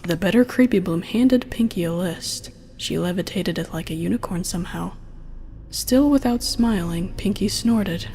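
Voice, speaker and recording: A woman's voice: fairly low pitch, moderate pace, mellow, slight background noise. A woman reading a scripted story aloud as its narrator. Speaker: narrator and reader.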